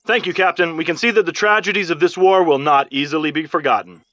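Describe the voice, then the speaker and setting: An earnest male war correspondent played by an actor reports in a measured, serious way for a game's newscast. His voice is high, and the sound is dry and slightly dull. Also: fairly bright tone, no echo, lightly acted. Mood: serious, concerned. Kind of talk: TV field report in a game cutscene. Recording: slightly dull, muffled audio.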